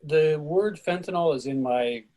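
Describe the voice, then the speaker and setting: A man's voice: fairly high pitch, measured pace, warm tone. A man moderating a casual group discussion over a video call.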